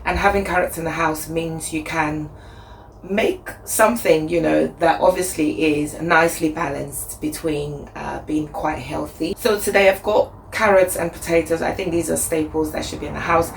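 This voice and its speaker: A woman vlogging casually as she cooks at home. Her voice is husky from the flu, and she talks chattily with plenty of fillers. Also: sits low, steady tone, moderate pace. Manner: chatty, upbeat, thinks aloud with fillers. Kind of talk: casual cooking vlog. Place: home kitchen. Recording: echoey room, faint background noise.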